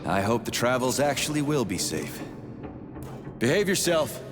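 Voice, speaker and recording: A man voicing a gruff, sarcastic, hot-tempered army major in a video game, with a blunt, sardonic way of talking. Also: medium pitch, very animated, normal speed, lightly acted. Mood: dry, relieved. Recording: long reverb, music behind.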